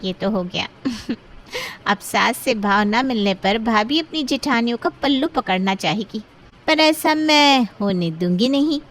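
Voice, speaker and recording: A woman's voice: a little high, lively intonation, measured pace, fairly bright tone, rough recording. A woman playing a scheming, haughty young sister-in-law in a Hindi cartoon drama, boastful and sly. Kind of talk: Hindi moral-story cartoon dialogue.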